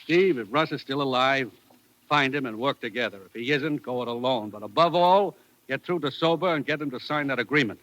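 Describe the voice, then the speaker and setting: A man's voice: medium pitch, brisk, fairly bright tone, natural voice. An actor playing a grave, authoritative American intelligence chief briefing his agent in a vintage radio drama. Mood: firm, commanding. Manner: earnest, authoritative. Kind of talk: 1950s radio acting. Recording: noisy vintage radio recording.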